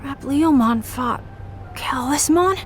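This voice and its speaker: An actress playing an anxious, impassioned young heroine in a video game, with an urgent, pleading delivery. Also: female voice, high voice, slow, a little hiss or hum. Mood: alarmed. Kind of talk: dramatic video game cutscene acting.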